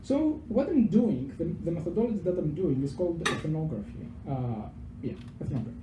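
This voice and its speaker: A young Greek-accented man talks into a handheld mic in an echoey pub venue, with faint ambience behind him. His voice is dark and mellow, and his pitch rises and falls in a lively way. He is chatty and self-deprecating, and he often trips over and repeats his words. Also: a little hiss or hum. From Greece. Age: young adult. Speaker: PhD researcher giving a public talk. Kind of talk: informal public science talk. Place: pub venue with a live audience. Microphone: handheld microphone.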